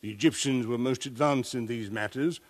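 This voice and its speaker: A man's voice: medium pitch, normal speed, no echo, lightly acted. A man acting a pompous, dismissive scholar leading an expedition, declaiming in 1950s radio drama style. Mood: awed.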